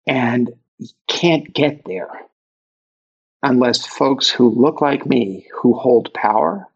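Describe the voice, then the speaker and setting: Gravelly, husky Brooklyn man. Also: mid-range voice, takes it slowly, lo-fi sound. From Brooklyn, New York. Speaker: author and interview guest. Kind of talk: reflective conversational interview.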